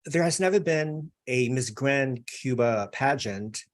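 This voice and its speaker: A man's voice: medium pitch, takes it slowly. A man hosting a live online interview, chatty and effusive, with many fillers. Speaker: interview host.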